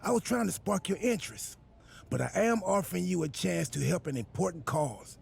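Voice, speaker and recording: Goofy, high-pitched, very gravelly wrestler boss. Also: male voice, lively intonation, brisk, a little mellow, slight background noise, heavily acted. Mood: pitching, upbeat. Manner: earnest, absurdly literal, rambling. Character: goofy, scatterbrained, self-important male wrestler playing boss. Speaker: wrestler acting as general manager. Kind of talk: video game cutscene acting.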